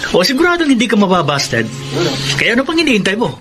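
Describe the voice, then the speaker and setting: A man's voice: sits high, huge pitch swings, fast, music in the background. An actor playing a cocky, teasing womanizer buddy in a Tagalog radio drama, with a Filipino accent and a brash way of talking. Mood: cocky, urging.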